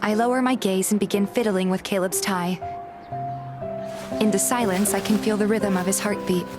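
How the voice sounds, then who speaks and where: A woman's voice: medium pitch, fairly even intonation, moderate pace, close to an everyday voice. A woman playing a guarded, feisty young heroine, narrating a romance game in the first person and acting out her dialogue. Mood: wistful. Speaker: narrator and lead in a fan dub. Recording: music behind the voice. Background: music.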